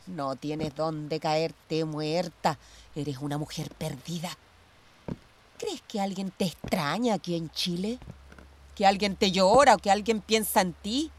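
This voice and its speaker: Bossy bitter ex cop lady, lowish voice, scornful and ordering people around, radio drama. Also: slow, slight background noise. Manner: commanding, contemptuous. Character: bitter, domineering ex police commander, scornful older sister. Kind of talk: radio theatre dialogue.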